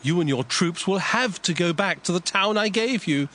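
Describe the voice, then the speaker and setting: A man's voice: sits high, expressive, moderate pace, a bit bright, a little hiss or hum. A man playing an earnest, loyal ancient king in a Bible drama, speaking emphatically. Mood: earnest, reassuring. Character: earnest, loyal ancient Philistine king defending his soldier. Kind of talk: dramatized Bible audio acting.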